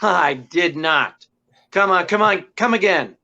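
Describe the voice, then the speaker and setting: On a slightly muffled recording, a determined old-time radio gumshoe, played by a man, talks in brusque slang. He is wisecracking and commanding, with a fairly high voice. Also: animated, measured pace, gravelly, sharp tone, exaggerated voice. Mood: tough, pressing. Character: tough, determined, wisecracking male private detective. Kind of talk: 1940s radio acting. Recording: dull, band-limited sound.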